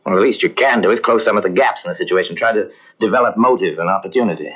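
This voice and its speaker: A man narrating a detective mystery in old-time radio style, grave and measured. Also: deep voice, slightly rough. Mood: solemn. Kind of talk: 1950s radio drama narration. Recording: dull old radio transcription.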